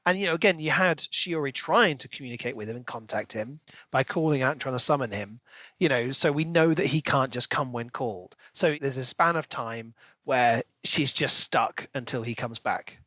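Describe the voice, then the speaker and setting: A man's voice: medium pitch, moderate pace, pushed and bright, no room sound. A man co-hosting a casual book discussion, talking in an enthusiastic, speculative and conversational way. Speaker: podcast co-host.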